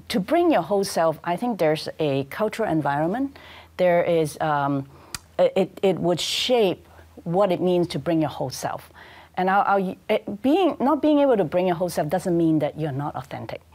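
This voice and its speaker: A woman in her fifties with a Hong Kong accent speaks on a panel in a studio, picked up by a lapel mic. Her voice sits on the lower side for a woman. She talks in a measured, thoughtful way and leans on the key words. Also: expressive, a little mellow. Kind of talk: panel discussion.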